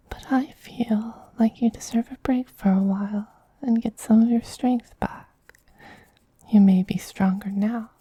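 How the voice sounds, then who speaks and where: Man as a whispering, breathy, doting vampire queen. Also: high-pitched, dark tone, no echo, full character voice, poor audio. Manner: slow, tender, coaxing endearments. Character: soothing, possessive, doting femboy vampire queen. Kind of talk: soft-spoken whispered ASMR roleplay.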